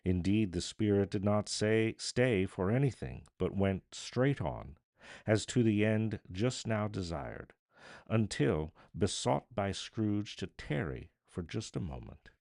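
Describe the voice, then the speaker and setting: A male storyteller with a low, creaky voice narrates dramatically. He is deliberate and theatrical, pausing between phrases, with highly animated intonation. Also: no echo, clean studio sound. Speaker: narrator, live reader.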